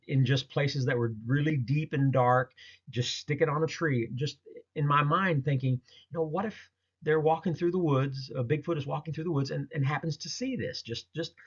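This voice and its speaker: A man talking casually through a slideshow of his own experiences, in a halting, rambling, folksy way. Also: on the high side. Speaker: presenter recounting a field experience. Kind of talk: casual narrated slideshow storytelling. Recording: dull lo-fi audio.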